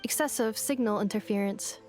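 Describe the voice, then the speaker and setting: A woman's voice: mid-range voice, fairly quick, darkish tone, soft background music, lightly acted. A woman voicing a polite, dutiful female robot in a video game, speaking in formal, clipped phrases. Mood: matter-of-fact.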